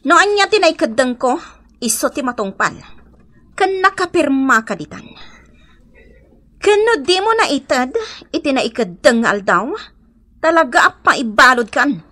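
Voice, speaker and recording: A woman's voice: high voice, big pitch swings, unhurried, long pauses, pushed and bright, exaggerated voice. A woman with an Ilocano accent playing a stern, offended village schoolteacher, firm and insistent, in a radio comedy drama. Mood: stern, unyielding.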